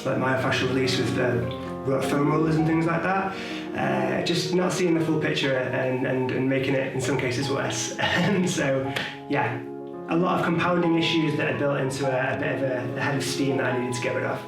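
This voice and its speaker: A man's voice: medium pitch, normal speed. A man who is a personal trainer and client, talking casually and thoughtfully about his progress, with many hesitations. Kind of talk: casual testimonial. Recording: very echoey, far from the mic, guitar music.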